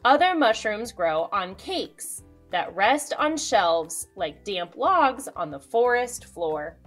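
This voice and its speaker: A woman in her thirties, a children's host reading a picture book aloud with expressive storytelling delivery. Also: mid-range voice, slow pace, faint music behind. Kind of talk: storybook read-aloud with asides to young viewers.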